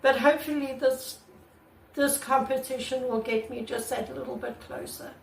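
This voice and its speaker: A woman artist with a croaky voice, talking casually and earnestly to her channel's viewers, recorded on a camera mic without a proper microphone. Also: high voice, steady tone, normal speed, distant mic, slight background noise. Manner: earnest and repetitive, many restarts. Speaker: artist vlogger. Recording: echoey room, away from the mic.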